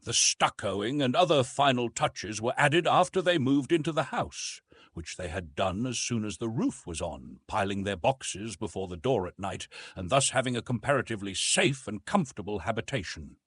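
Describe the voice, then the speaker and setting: A man narrating classic adventure fiction as an audiobook, with dramatic, deliberate phrasing. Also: medium pitch, lively intonation, fairly bright tone, husky, no echo.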